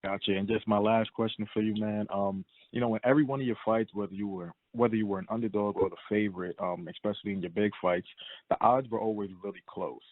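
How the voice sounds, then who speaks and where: A man's voice: lowish voice, dry recording. A man asking casual, wordy interview questions as a boxing reporter. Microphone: telephone. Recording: tinny phone conference line.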